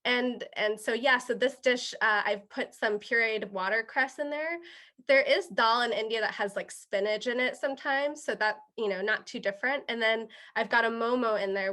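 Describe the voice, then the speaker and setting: A woman's voice: mid-range voice, sharp tone. A young woman, a guest chef, talking casually and patiently about her dishes, with many small fillers and restarts. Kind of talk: casual interview, explaining dishes.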